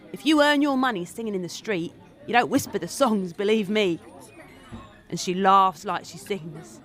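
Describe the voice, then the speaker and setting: A woman's voice: medium pitch, a bit bright. A woman acting a wry, earthy confidante who gossips in a sardonic monologue for an audio drama.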